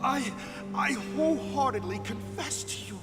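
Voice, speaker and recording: A man's voice: very high voice, lively intonation, normal speed, gravelly, full character voice. An actor playing a frightened, pitiful father who stammers as he pleads. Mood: desperate, pleading. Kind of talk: melodramatic game voice acting. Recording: echoing hall, loud music behind.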